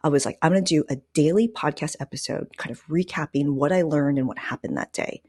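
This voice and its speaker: Female podcast host, low register, dry close sound, casual and emphatic delivery. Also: fairly quick, vocal fry, a little mellow, slightly rough, dry recording. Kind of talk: casual conversational talk.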